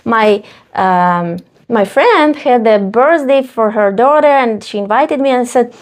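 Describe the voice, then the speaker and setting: A woman in her thirties from Ukraine, speaking English with a Ukrainian accent, telling her story with many pauses and fillers as a guest. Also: a little high, animated. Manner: halting non-native English with many fillers. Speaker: face painter, guest. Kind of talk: casual interview.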